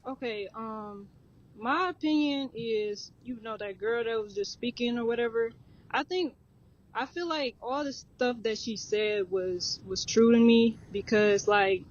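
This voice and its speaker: A woman's voice: fairly high pitch, a little slow, lo-fi sound. A woman giving her opinion in an online audio chat, hesitant and full of fillers. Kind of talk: casual chat.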